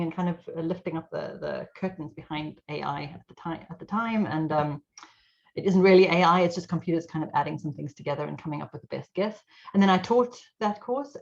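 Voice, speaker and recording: A middle-aged woman, an IT consultant and guest speaker, telling her story in an animated way over a video call. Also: low voice. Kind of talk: casual webinar talk. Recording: slightly dull lo-fi audio.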